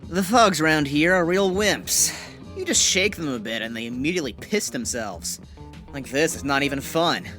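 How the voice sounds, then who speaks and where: A cocky young gunman from a crime gang, acted by a man, pitched fairly high and swinging freely up and down as he banters. He is breezy and playful, in an audio drama style. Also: animated, normal speed, sharp tone, faint music behind. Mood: cocky, bantering.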